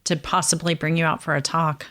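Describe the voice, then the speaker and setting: A woman's voice: deep voice, flat delivery, brisk. A woman hosting a faith podcast, encouraging her guest and signing off upbeat. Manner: warm, encouraging, upbeat sign-off. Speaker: podcast host. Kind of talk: casual interview and show outro.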